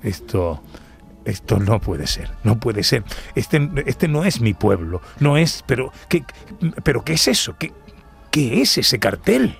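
A man's voice: medium pitch, sing-song, creaky, faint music behind, exaggerated voice. A man playing an emotional middle-aged family man in a Christmas radio play. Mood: distraught. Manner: halting, emotional.

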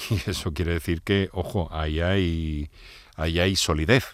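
A man's voice: deep voice, fairly even intonation, unhurried, no room sound, clean studio sound. A man hosting an interview, friendly and questioning, often breaking into laughter. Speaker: interview host. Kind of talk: conversational interview.

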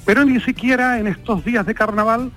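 A middle-aged hotel owner calls in to a radio show and talks at length in a high voice. He rambles from point to point, and faint music sits low behind him. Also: fairly even intonation, a little fast, fairly bright tone. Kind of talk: phone-in chat.

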